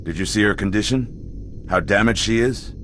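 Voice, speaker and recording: An actor playing a stern, skeptical human military commander in a game cutscene, blunt, grave and authoritative. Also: male voice, fairly low pitch, normal speed, background noise, close to an everyday voice. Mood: grave, insistent. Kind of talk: dramatic video game cutscene acting.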